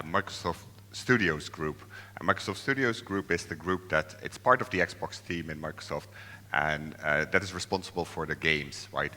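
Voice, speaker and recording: A very deep-voiced man presents at a conference, stopping often for fillers and restarts. His tone is bright and forceful, and long hall reverb hangs behind him. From Netherlands. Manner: hesitant, many fillers and restarts. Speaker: software developer presenting.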